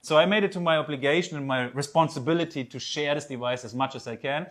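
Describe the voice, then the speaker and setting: A man's voice: a little high, fast talker, a bit bright. A man with a German accent, a native German speaker, giving an earnest, persuasive sales presentation with slightly non-native phrasing.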